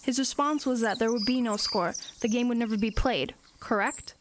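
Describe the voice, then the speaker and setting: A woman playing a plucky teenage girl in an audio drama, matter-of-fact, earnest and brisk. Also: a little high, a little hiss or hum, close to an everyday voice. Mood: brisk, questioning.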